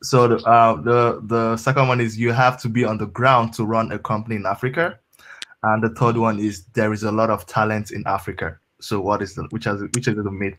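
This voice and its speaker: A man's voice: on the low side, level tone, a little fast, a bit bright, slight background noise. A man moderating a panel discussion in a casual, filler-heavy way.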